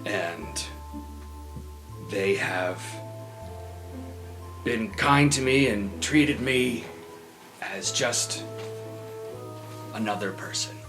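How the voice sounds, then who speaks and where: A man voicing a humble young male student who gives a gala speech in a halting way, pausing often. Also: lowish voice, music in the background. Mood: modest. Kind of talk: improvised tabletop roleplay.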